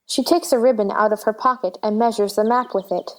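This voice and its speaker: A woman's voice: medium pitch, fairly even intonation, fairly quick. A woman narrator reading stage directions in scripted narration for a play.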